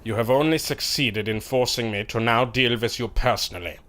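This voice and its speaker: A man's voice: medium pitch, moderate pace, fairly bright tone, slight background noise, lightly acted. An actor playing a cold, threatening male villain who commands soldiers. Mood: menacing.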